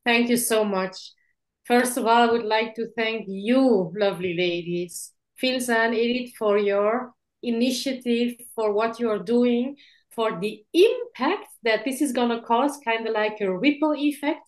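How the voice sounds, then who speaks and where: A woman's voice: a little high, unhurried. Belgian-born woman telling her story. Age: 40s. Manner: warm, lively storytelling, adds "yeah?". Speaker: guest speaker. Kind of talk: online interview, personal story. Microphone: video call.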